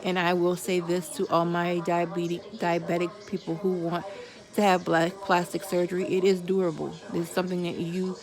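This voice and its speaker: A woman's voice: lowish voice, completely flat delivery, moderate pace, crowd noise. A woman of forty giving viewers a candid personal update, chatting casually with religious asides. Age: 40s. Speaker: vlogger.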